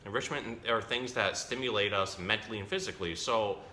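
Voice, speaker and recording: Bright, low-pitched man in a roomy space. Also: a little fast. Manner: explains simple words, self-correcting, chatty. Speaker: zookeeper presenting an animal storytime. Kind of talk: casual educational talk for children. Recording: roomy echo, faint background noise.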